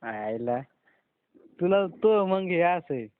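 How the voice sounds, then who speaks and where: A man's voice: high-pitched, animated, slow, dry recording. Young man on a tinny phone line. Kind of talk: casual phone chat with a friend. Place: phone call. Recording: narrow, tinny phone call recording.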